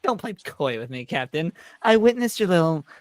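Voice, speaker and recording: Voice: medium pitch, very animated, moderate pace, dry recording, lightly acted. Q, someone doing a swooping theatrical trickster. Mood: taunting. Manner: theatrical, taunting, mock-wounded delivery. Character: Q, taunting theatrical omnipotent trickster alien. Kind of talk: amateur live script read.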